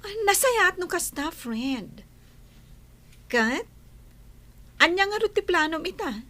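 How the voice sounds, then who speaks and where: A woman's voice: very high-pitched, big pitch swings, slow, pushed and bright, exaggerated voice. A woman playing a chatty, supportive young friend in a radio-style drama, with an Ilocano accent. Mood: supportive, curious.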